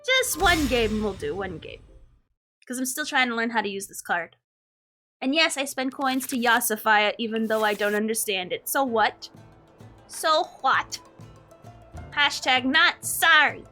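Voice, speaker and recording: A woman's voice: a little high, animated, unhurried, bright, forceful tone. A woman giving casual, playful live game stream commentary, often repeating her phrases. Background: game music.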